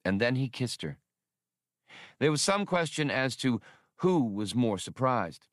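A man's voice: medium pitch, big pitch swings, unhurried, no echo, studio quality. A man narrating a fiction audiobook in a scripted storytelling style.

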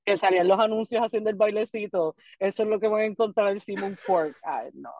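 A woman's voice: medium pitch, fairly quick, darkish tone. A young adult woman with a Puerto Rican accent, a comedian guest chatting casually in rambling Spanglish with lots of restarts. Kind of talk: casual podcast chat.

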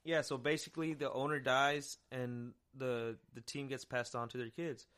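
A man's voice: medium pitch, level tone, normal speed, a bit bright. Easygoing man rambling on a podcast. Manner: easygoing, rambling, swears casually. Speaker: podcast co-host. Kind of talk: casual chat.

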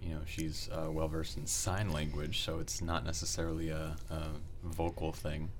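A young man chatting as a student co-host, speaking thoughtfully and in a measured way. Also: extremely low pitch, level tone, noisy background. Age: young adult. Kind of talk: casual chat.

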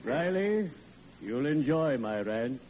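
A man's voice: mid-range voice, sing-song, takes it slowly. An actor playing a cheerful, morbid male undertaker with sweet, suave, drawling delivery in 1940s radio acting, on an old lo-fi recording with hiss. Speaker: undertaker character in a radio comedy.

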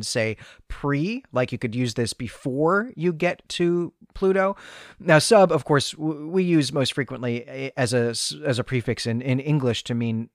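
A man's voice: mid-range voice, no room sound, studio quality. A man hosting a podcast in a conversational, analytical way, thinking aloud as he recaps and discusses a story. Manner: analytical, thinking aloud with repeated hedging asides. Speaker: podcast host.

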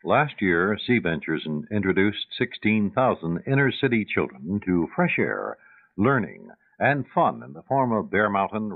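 A man reading a scripted charity appeal as a radio announcer on a tinny old recording. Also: lowish voice.

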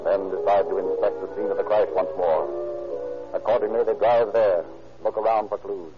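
A man giving measured, formal scripted narration for a radio crime drama. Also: sits low, fairly even intonation. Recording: muffled old radio recording with music.

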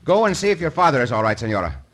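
An actor playing a bold, commanding Mexican cowboy hero in an old radio western, with formal phrasing and a Mexican accent. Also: male voice, mid-range voice, very animated, very fast, fairly bright tone. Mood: grave, resolute. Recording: noisy old radio recording.

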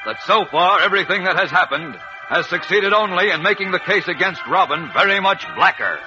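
A man's voice: sits high, lively intonation, brisk. A man narrating a 1940s radio serial in a formal, dramatic storytelling manner. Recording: dull old radio recording with music.

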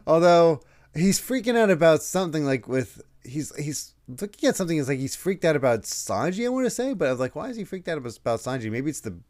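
A man hosting a casual podcast, his voice a little croaky from a recent illness. He laughs often and retells scenes in quick quoted voices. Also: on the high side, sing-song, darkish tone. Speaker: podcast host.

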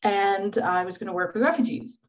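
A woman's voice: medium pitch, normal speed, lo-fi sound. A woman being interviewed on a podcast, speaking thoughtfully and searching for words with fillers and small laughs. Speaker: podcast guest. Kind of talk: conversational interview.

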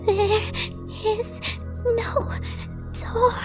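A woman voicing an eerie girl who speaks slowly and drags out her words in an audio drama. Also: very high-pitched, little pitch movement, exaggerated voice. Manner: slow, drawn-out, otherworldly. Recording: music underneath.

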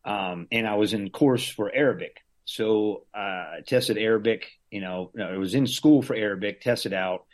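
A man's voice: lowish voice, moderate pace, hardly any pauses. A middle-aged man from Southern Louisiana telling stories as an interview guest, with many restarts and chuckles. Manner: rambling, frequent restarts and laughs. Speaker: interview guest, Green Beret veteran. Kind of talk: casual conversational storytelling.

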